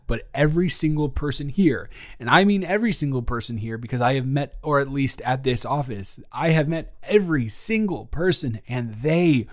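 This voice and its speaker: A man acts out a friendly male office boss with a mid-range voice. He speaks in a halting, conversational way, restarting his phrases as he goes. It is an intimate audio roleplay, addressed to a new intern with a flirty, slightly nervous excitement. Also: medium pitch, close to an everyday voice. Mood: earnest, enthusiastic. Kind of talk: improvised romantic audio roleplay, spoken to the listener.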